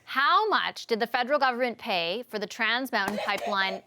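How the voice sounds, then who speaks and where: A woman hosting a year-end news quiz in a TV studio, reading questions crisply with light laughs. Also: on the high side, animated, fairly quick, background noise. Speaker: quiz show host. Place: TV studio with a panel.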